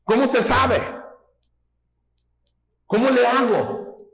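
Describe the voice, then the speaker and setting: Pastor preaching slow, folksy, keeps asking if you follow, echoey church hall. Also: male voice, extremely high pitch, lively intonation, a bit bright. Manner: folksy, asks rhetorical questions, teaching tone. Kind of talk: sermon.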